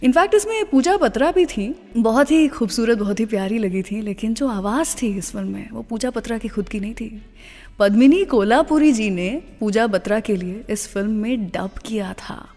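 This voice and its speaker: A woman's voice: a little high, expressive, moderate pace, darkish tone. A woman with an Indian accent hosting a radio show in a conversational storytelling style, mixing English phrases into her Hindi. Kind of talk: conversational scripted radio storytelling about film music. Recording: long reverb, music bed behind voice. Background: background music.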